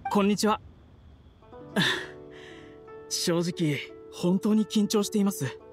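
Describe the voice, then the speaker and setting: High-voiced, courteous, earnest man. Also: extremely slow. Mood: nervous, polite. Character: polite, earnest, eager male candidate in a fantasy game. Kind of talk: Japanese video game voice acting. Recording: music behind the voice.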